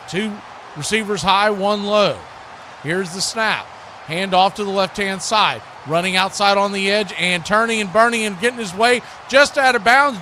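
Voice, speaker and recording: A man's voice: high-pitched, bright, forceful tone, a little hiss or hum. An American man working as a sports host and play-by-play commentator on a live football watch party.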